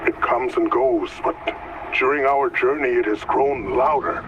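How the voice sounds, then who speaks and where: A man playing a grave, uneasy companion in a sci-fi video game, speaking in a measured, formal and earnest way. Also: medium pitch, lo-fi sound, close to an everyday voice. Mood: grave, concerned. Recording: noisy ambience behind voice.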